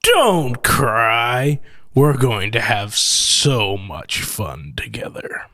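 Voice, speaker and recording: An actor playing a gloating, sardonic killer who collects machines, with mocking, theatrically polite menace in an audio horror story. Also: male voice, medium pitch, sing-song, drawn-out words, pushed and bright, exaggerated voice.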